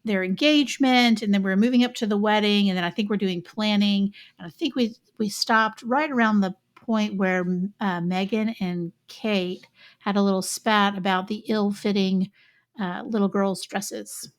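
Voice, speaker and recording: A woman's voice: mid-range voice, steady tone, moderate pace. Chatty woman podcast co-host. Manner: conversational, hedging with fillers. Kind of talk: casual podcast chat.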